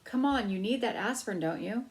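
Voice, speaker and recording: A woman's voice: mid-range voice, expressive, a little fast, voice pushed up, close to an everyday voice. A woman voicing an eager, determined young boy mouse in a children's storybook, insistent and pleading. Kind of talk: read-aloud children's storybook.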